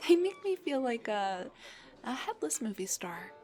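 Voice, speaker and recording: A young woman voicing an embarrassed, self-deprecating headless dullahan on a date, in an intimate roleplay, breathy and hesitant, often slipping towards a whisper. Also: fairly high pitch, big pitch swings, measured pace, darkish tone, soft background music. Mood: relaxed, chatty. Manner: hesitant, stammering, joking. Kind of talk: intimate audio roleplay acting.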